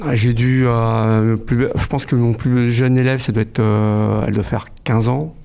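A man's voice: mid-range voice, little pitch movement, moderate pace, creaky, warm tone, smooth. A middle-aged man from France, a kung fu club president, speaking hesitantly in a casual radio interview, with many fillers and restarts.

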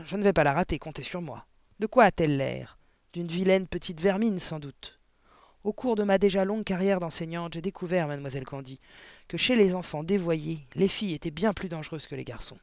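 A man's voice: high-pitched, fairly quick, a little mellow, no room sound, voice pushed up. A man voicing a huge, bullying, spiteful middle-aged headmistress in a storybook, barking scornfully and emphatically.

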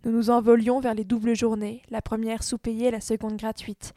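Female narrator for a literary radio piece: fairly high voice, flat even delivery, dry close sound. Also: normal speed, no room sound.

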